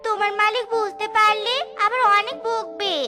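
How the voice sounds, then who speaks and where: A woman's voice: very high-pitched, steady tone, normal speed, music in the background. A woman voicing a devoted, hardworking poor beggar wife and mother in a children's cartoon, with a childlike voice. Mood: earnest.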